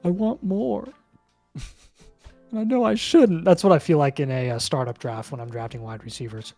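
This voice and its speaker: A man co-hosting a casual livestream, giving brisk, terse advice item by item. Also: a little high, warm tone, dry recording, faint music behind. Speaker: co-host.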